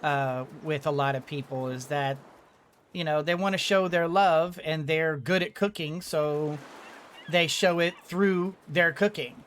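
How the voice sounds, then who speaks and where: A game streamer with a mid-range voice and a very slow pace. The commentary is casual and rambling, with choices mulled over aloud. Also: medium pitch, steady tone, a bit bright, a little hiss or hum. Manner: thinking aloud, hesitant, rambling.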